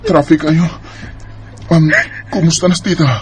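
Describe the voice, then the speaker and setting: A man's voice: a little high, fairly even intonation, normal speed, over music, lightly acted. A man with a Visayan Filipino accent playing a devoted, easily startled young boyfriend in a radio drama. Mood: concerned.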